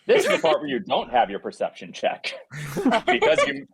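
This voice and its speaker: A man's voice: a little high, wildly swooping pitch, normal speed, vocal fry, slightly rough. A man joking casually with friends as a cast member in a live table read.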